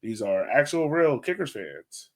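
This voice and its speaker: Guy chatting on a sports podcast, informal, medium pitch, kinda halting as he thinks out loud. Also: big pitch swings. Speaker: podcast co-host. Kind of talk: casual sports podcast chat.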